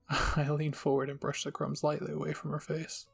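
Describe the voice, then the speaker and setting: A man's voice: fairly high pitch, monotone, moderate pace, creaky, faint music behind. A man narrating a scripted first-person story as the host of an audio channel.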